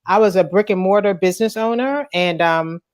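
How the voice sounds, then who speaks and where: A woman coach and host chatting casually and in a lively way, acting out the lines of her customers as she tells a story. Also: mid-range voice, fairly quick. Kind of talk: casual live-stream chat.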